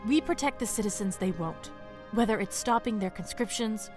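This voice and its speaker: A woman's voice: medium pitch, expressive, normal speed, over music, close to an everyday voice. A woman playing a tough but motherly female dragon who leads outlaws, firm and resolute, in an audio drama. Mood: proud.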